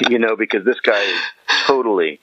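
A man's voice: fairly low pitch, normal speed, a bit bright, no echo, lo-fi sound. A man chatting casually as a podcast guest, slangy and often chuckling as he retells things. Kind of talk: casual chat, animated retelling.

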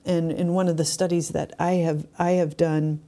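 A woman's voice: deep voice, flat delivery, moderate pace, warm tone. A middle-aged woman hosting a podcast, talking conversationally and reflectively between her questions. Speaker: podcast host, spiritual director. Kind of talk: conversational interview with reflective asides.

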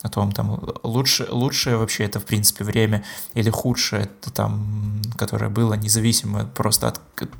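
A man's voice: fairly low pitch, little pitch movement, a little fast, creaky, few pauses. A man co-hosting a podcast in casual chat, hesitant, with many filler sounds. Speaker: podcast co-host.

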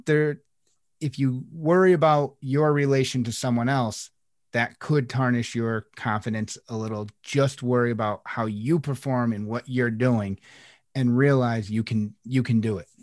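A man in a casual coaching podcast chat, talking through ideas in a relaxed, easygoing way and often restarting his phrases. His voice sits at a middling pitch and sounds close and dry, with almost no room around it. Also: a little slow, no room sound. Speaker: life coach, podcast co-host.

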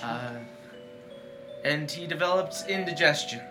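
A man on a podcast, improvising stories and chatting casually in a halting, hesitant way. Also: fairly high pitch, music in the background. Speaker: podcast participant. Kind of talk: casual improvised storytelling and chat.

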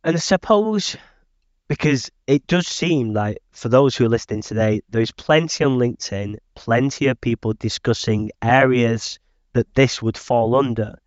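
A man's voice: mid-range voice, moderate pace, no echo. A man hosting a webinar interview over a video call, with meandering phrasing and a habit of saying "I suppose". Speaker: host and recruitment director. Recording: dull, dry lo-fi call audio.

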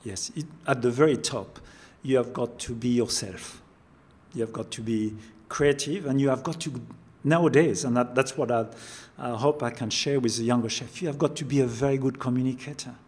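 A man's voice: mid-range voice, moderate pace, a little mellow, husky. An older man with a French accent, a chef answering interview questions, often repeating words as he searches for phrasing. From France. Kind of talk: interview conversation.

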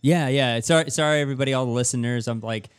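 A man's voice: mid-range voice, fast talker, clear, resonant tone. A man co-hosting a podcast in casual, informal and agreeable chat. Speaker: podcast co-host.

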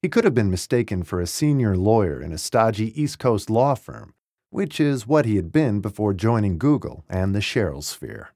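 A man's voice: on the low side, a little mellow, no room sound. A man reading a first-person memoir as scripted audiobook narration, articulate and wry, recorded close in a dry studio.

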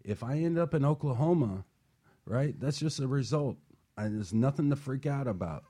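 A man's voice: mid-range voice, expressive, normal speed, mellow, slightly rough. A man hosting a talk show as a life coach, speaking earnestly and encouragingly through examples. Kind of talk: motivational talk-show chat.

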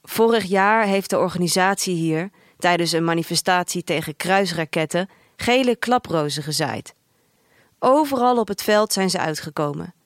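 A woman's voice: fairly low pitch, dry recording, clean studio sound, lightly acted. A woman playing a detached young female protester in a dystopian audio drama, narrating in literary first person. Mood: matter-of-fact. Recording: music under the voice. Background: music.

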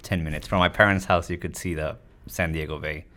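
A man's voice: rumbling low, fairly even intonation, brisk, sharp tone. A man from Mexico answering as a physician guest in a conversational interview, explaining things with frequent "you know"s. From Tijuana, Mexico. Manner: explanatory, often tagging points with "you know".

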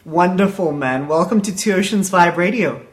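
A man's voice: high-pitched, moderate pace. South african guy interviewing on radio, chatty and upbeat, pretty effusive.

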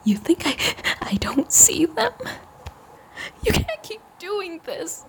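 A woman acting a hurt, bitter young woman in an audio drama, speaking emotionally and often in a breathy hush. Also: extremely high pitch, big pitch swings, slow, full character voice. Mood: hurt, angry. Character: hurt, bitter young woman confronting the man she loves. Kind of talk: first-person audio drama narration and dialogue. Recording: noticeable background noise and ambience.

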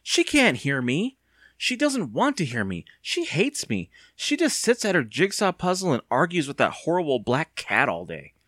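A man's voice: medium pitch, sing-song, fairly quick, few pauses, a bit bright, dry recording, clean studio sound. A man voicing an anxious, impatient young boy in an audiobook, with a whiny, sarcastic, exclaiming manner. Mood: bitter, frustrated. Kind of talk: audiobook character voice.

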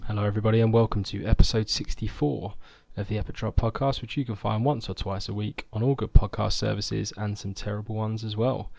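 A man hosts a laid-back podcast in a low, gravelly voice. He chats easily and reads news items out in a steady, conversational way. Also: brisk, few pauses, slight background noise. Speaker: podcast host. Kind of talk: casual podcast chat.

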